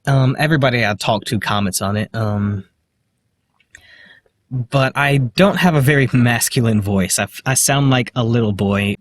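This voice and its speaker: A man with a slight lisp, talking to the camera in a halting, hesitant way, full of fillers and restarts. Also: mid-range voice, a little hiss or hum. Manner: quiet, self-deprecating. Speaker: vlogger. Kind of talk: casual self-recorded monologue.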